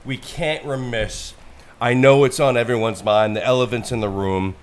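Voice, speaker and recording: A middle-aged man hosting a casual podcast, excitable and jokey as he steers the talk. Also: mid-range voice, moderate pace. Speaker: podcast host. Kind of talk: casual chat.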